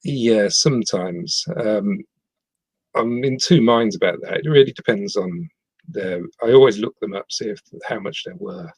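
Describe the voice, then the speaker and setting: Husky middle-aged bloke from the west midlands, low voice, umming a lot. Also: male voice, animated, a little slow, dry recording, low-quality recording. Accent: English, not Scottish. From West Midlands, England. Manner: hesitant, frequent ums and restarts. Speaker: podcast guest, reseller. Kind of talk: casual interview chat.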